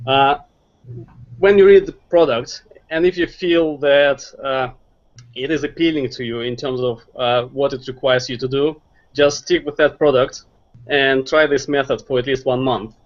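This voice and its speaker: Brief: Russian-accented male guest, mid pitch, earnest advice, muffled call audio. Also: steady tone, moderate pace. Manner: earnest, advice-giving, some grammar slips. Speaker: guest, SEO marketer. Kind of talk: online interview.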